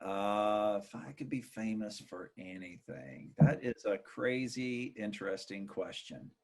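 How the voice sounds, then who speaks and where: A man chatting casually as a podcast guest, often thinking aloud in a hesitant, halting way. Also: fairly low pitch, creaky, a little mellow. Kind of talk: casual chat.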